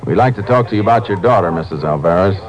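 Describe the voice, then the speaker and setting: An actor playing a calm, businesslike Texas Ranger who narrates and investigates in a 1950s radio drama, terse and matter-of-fact. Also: male voice, deep voice, racing, lo-fi sound, crowd noise. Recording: old radio drama with music.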